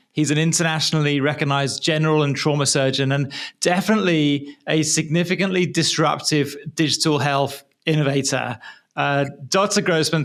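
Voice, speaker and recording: Man, podcast host, fairly high voice, upbeat, quick questions. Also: fairly bright tone. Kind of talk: interview and scripted intro.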